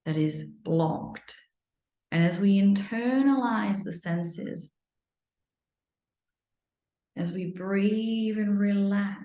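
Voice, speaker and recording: A woman leads a guided meditation in a soothing, unhurried manner, slipping at times into near-whispers. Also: on the low side, warm tone. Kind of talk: guided meditation, improvised live. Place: indoor room, live online video session. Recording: roomy, echoey sound.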